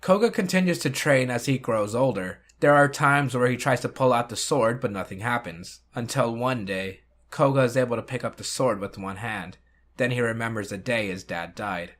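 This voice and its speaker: A man's voice: mid-range voice, normal speed, fairly bright tone. A man hosting a retrospective video, reading scripted recap and review narration.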